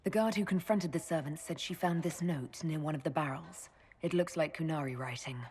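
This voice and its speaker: An actress playing a calm, direct, authoritative heroine leader in a video game. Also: female voice, low-pitched, a little fast, a little hiss or hum. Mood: calm, resolute. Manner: direct, controlled.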